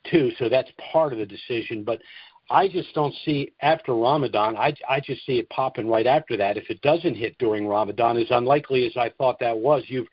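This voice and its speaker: A man's voice: medium pitch, a little fast, slightly rough, no room sound. Male call host, folksy and agreeable, conversational delivery, dry and muffled conference-line audio. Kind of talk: casual call-in chat. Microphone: telephone conference line.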